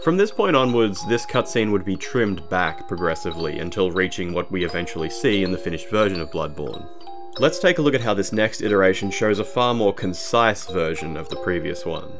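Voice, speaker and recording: A man narrating a scripted game analysis in a measured, formal documentary manner. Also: sits low, lively intonation, over music. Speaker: video narrator.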